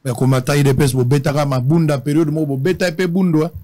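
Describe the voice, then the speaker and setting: A man's voice: a little high, fairly even intonation, fast, dark tone. A man with a Congolese accent hosting a casual political talk show, switching between languages as he speaks.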